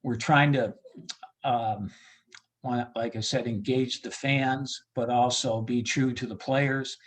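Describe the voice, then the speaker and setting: A man with a medium-pitched voice speaks as an interview guest about his work as a ballpark announcer. His delivery is casual and halting, as he thinks out loud. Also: fairly even intonation. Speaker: baseball PA announcer being interviewed.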